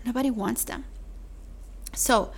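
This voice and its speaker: A woman hosting her own podcast, talking chattily to the listener with a mellow voice and calling them "my love.". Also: a little high, slow, vocal fry, husky. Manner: chatty, calls listener "my love", says "like" often. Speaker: podcast host. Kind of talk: solo podcast sales pitch.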